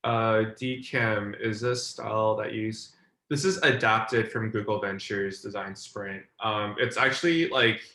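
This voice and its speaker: A man's voice: on the low side, steady tone, measured pace, sharp tone. A young man from China, a design mentor, explaining ideas in a loose, talky way with lots of fillers, on a video call. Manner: loose and talky, filler words, "like" often, checks "does that make sense". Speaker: design mentor hosting a group session. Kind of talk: casual online teaching. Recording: roomy echo.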